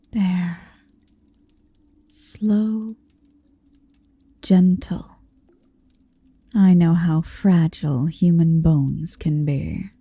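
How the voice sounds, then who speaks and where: A woman's voice: lowish voice, mellow, breathy edge, no echo, full character voice. A woman playing a huge, possessive, motherly female dragon in an ASMR roleplay, tenderly domineering and teasing, often whispering close to the mic. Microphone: close-up microphone, dry.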